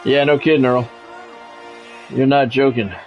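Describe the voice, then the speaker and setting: A man's voice: medium pitch, fairly even intonation, unhurried, highs cut off, soft background music. A middle-aged American man with a blunt, grumbling manner, switching between casual profane chat and sports play-by-play on a livestream. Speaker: game streamer and sports play-by-play announcer.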